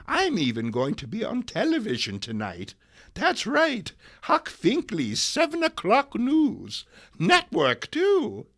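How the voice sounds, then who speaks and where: A man's voice: high voice, a bit bright, pitched above the performer's own voice, exaggerated voice. A man voicing a feisty, indignant old woman crusader in a theatrical, emphatic comic book reading. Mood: excited. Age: elderly.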